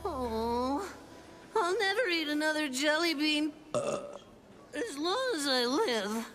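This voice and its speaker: A chubby, easygoing little boy sidekick in a kids' cartoon, cheeky and casual. The voice is very high and animated, with music playing behind it. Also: slow, heavily acted. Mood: queasy. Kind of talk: children's cartoon voice acting.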